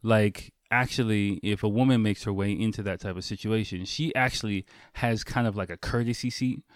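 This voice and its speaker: A man with a fairly deep voice talks as the host of a casual solo podcast. His delivery is informal and conversational, with loose swearing. The sound is dry, with very little room in it. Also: steady tone, moderate pace, no echo. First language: English.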